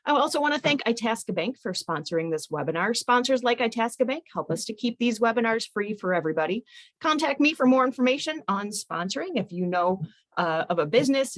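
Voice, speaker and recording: A woman hosting a webinar, giving upbeat, chatty announcements and introductions. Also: a little high. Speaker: webinar host. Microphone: computer mic on a video call.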